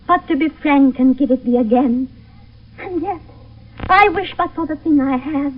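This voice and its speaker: A British actress playing an ardent, anxious young maiden in love, speaking verse in a theatrical classical radio drama style. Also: female voice, sits high, moderate pace, natural voice. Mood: tender, adoring. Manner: theatrical, fervent verse delivery. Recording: old lo-fi phone-like radio broadcast, distant.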